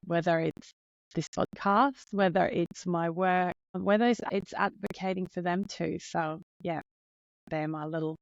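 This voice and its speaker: A woman's voice: lowish voice, creaky, no echo, lo-fi sound. Earnest Finnish-accented woman in her thirties. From Finland. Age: 30s. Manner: earnest, openly nervous, heartfelt. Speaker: podcast host. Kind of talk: solo podcast monologue.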